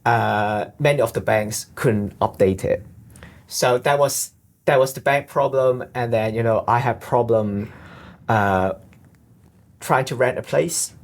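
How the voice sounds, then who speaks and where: A man from with a deep voice, a guest in an interview who explains things earnestly and often restarts his sentences. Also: measured pace. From Hong Kong. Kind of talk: conversational interview.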